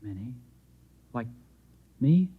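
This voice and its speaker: A sincere, bewildered husband who carries a Martian mind. His voice is husky and mid-pitched, in earnest vintage radio acting. The old recording is somewhat dull. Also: big pitch swings, slow, exaggerated voice. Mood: hopeful wonder. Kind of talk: 1950s radio drama acting.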